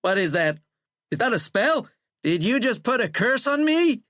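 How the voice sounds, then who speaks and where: A man's voice: fairly low pitch, level tone, brisk, fairly bright tone, smooth, dry recording, clean studio sound, close to an everyday voice. A man voicing a furious, petulant, self-important American president in an audiobook, blustering and defensive. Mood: threatening, rattled. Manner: blustering, defensive, prone to screeching. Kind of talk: audiobook character acting.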